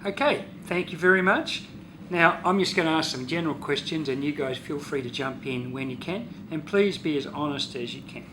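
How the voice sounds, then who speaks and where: Panel interviewer, medium-pitched voice, guiding students through questions, noisy recording. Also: moderate pace. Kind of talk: simple guided questions to students. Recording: clear background noise.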